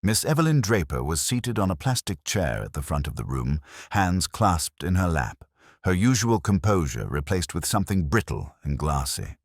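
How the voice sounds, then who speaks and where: An extremely low male voice narrates a scripted mystery in measured, literary fashion. The pitch moves in a lively way, and the sound is dry and close. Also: dry recording. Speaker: audiobook narrator.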